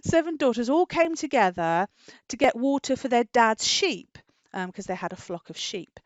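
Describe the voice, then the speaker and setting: A British woman tells a children's story in a fairly high voice. She is animated and full of questions, drawing out important words. The sound is dry and a little dull. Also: normal speed, dry recording. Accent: British English. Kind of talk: storytelling for young children, chatting with a puppet.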